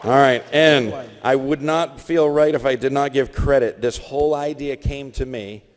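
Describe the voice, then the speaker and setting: A man's voice: mid-range voice, steady tone, moderate pace, slight background noise. A man hosting a live comedy show from a convention stage, announcing casually to the room. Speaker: host of live voice-acting show. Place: convention stage in a large hall. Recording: echoey convention hall reverb.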